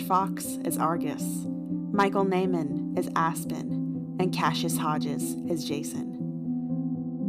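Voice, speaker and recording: A woman with a low voice reads the end credits briskly and matter-of-factly. Loud plucked guitar music plays underneath her. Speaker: producer reading the credits. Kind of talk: scripted credits read-out.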